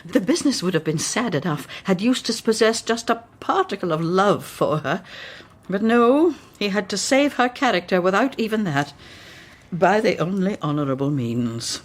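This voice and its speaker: A woman's voice: medium pitch, big pitch swings, moderate pace. An actress playing a proud, blunt older Victorian mother in a period radio drama, speaking in an emphatic, formal way. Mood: grave, narrating. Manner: emphatic, formal, pronouncing judgements.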